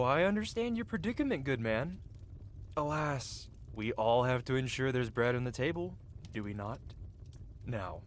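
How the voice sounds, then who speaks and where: A man's voice: mid-range voice, a little hiss or hum, close to an everyday voice. Man playing a cocky, flirty medieval blacksmith. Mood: sly, businesslike. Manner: teasing, theatrical, archaic fantasy phrasing. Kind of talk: scripted ASMR fantasy roleplay.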